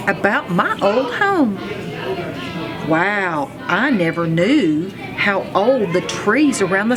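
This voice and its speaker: Animated, unhurried woman reading a children's story. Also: mid-range voice. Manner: animated storytelling with acted sound effects. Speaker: school superintendent reading aloud to children. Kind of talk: expressive children's storybook read-aloud in character as first-person narrator.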